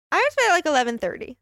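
A woman's voice: extremely high pitch, a bit bright, dry recording, clean studio sound. A young woman co-hosting a casual chat show, laid-back and slangy, often laughing. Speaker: co-host.

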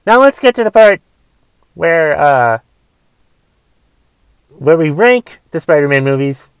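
A man with a somewhat high voice, rasped by a cough, muses aloud about a film and swears freely. The sound is close and dry, with no room around it. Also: expressive, slow, dry recording. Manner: rambling, profane, wondering aloud. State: raspy voice from a cough. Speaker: movie review host. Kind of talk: casual solo movie review.

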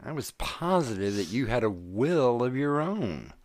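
A man's voice: medium pitch, very animated, unhurried, darkish tone. An actor playing an earnest young man, pleading with his fiancée and growing exasperated. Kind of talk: audio drama acting.

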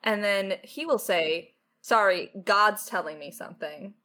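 A woman's voice: medium pitch, unhurried, sharp tone. A woman co-hosting a casual question-and-answer chat as a writer, with a wry, conversational way of talking. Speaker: lead writer and podcast co-host.

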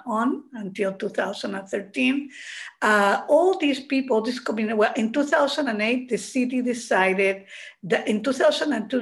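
Older woman, heavy Chilean accent, interview guest, earnest, halting storytelling. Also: medium pitch. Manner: earnest storytelling with frequent fillers and restarts. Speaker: guest, mural advocate. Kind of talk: casual interview.

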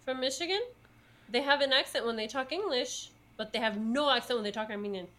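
A woman's voice: on the high side, brisk, bright, forceful tone. A woman co-hosting a podcast, chatting casually and rambling with fillers and laughs. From United States. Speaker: podcast co-host. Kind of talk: casual chat.

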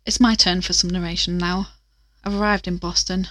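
A woman's voice: lowish voice, flat delivery, brisk, fairly bright tone, no room sound, lightly acted. A woman playing a determined, self-reliant podcast investigator in an audio drama, conversational and matter-of-fact. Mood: pensive, determined. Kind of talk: audio drama narration, recorded-diary delivery.

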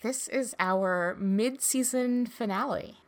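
A woman co-hosting a casual podcast chat, talking in a relaxed, friendly way. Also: fairly high pitch, slow. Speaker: podcast co-host.

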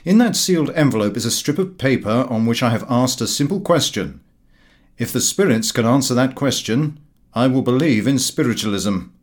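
A man's voice: mid-range voice, expressive, normal speed, close to an everyday voice. A man voicing a blunt, mocking male skeptic in an audiobook, brusque and emphatic. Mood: challenging.

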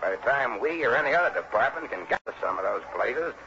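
A man's voice: mid-range voice, animated, fast, close to an everyday voice. Man acting exasperated fire chief, dull old radio sound. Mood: resigned. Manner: folksy, opinionated, emphatic. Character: gruff, exasperated male county fire chief. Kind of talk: early 1960s radio drama acting. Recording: dull, faintly noisy vintage radio recording.

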